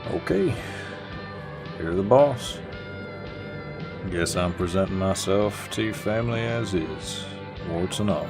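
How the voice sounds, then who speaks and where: Deep, slow-drawling Southern man, acted. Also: expressive, over music, full character voice. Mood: tender, teasing. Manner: slow, gentlemanly drawl with folksy phrasing. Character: easygoing, chivalrous Southern redneck boyfriend, teasing and devoted. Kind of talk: intimate boyfriend audio roleplay, acted to the listener.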